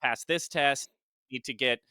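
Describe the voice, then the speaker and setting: A male podcast host who explains in long lists and talks quickly, in casual chat. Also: mid-range voice, sharp tone, clear, resonant tone, no echo.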